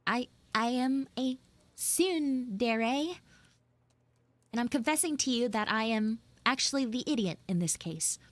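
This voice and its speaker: A woman's voice: fairly high pitch, lively intonation, unhurried, no room sound. A young woman playing a flustered, pleading tsundere schoolgirl in a live roleplay, rambling and stammering dramatically. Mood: embarrassed, confessing. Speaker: live audio roleplay streamer. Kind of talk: improvised live character roleplay.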